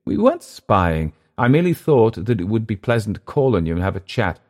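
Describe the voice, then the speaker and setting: A man's voice: fairly low pitch, very animated, brisk, a little mellow, pitched above the performer's own voice. A man voicing a courteous older sea captain who speaks politely and stammers slightly. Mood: coaxing. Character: courteous elder sea captain, mild and patient.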